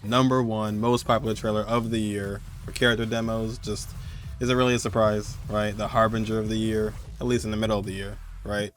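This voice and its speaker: Low-pitched man, casual gaming countdown host. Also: flat delivery, a little fast, a little hiss or hum. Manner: informal, rambling, emphatic. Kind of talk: casual excited countdown commentary.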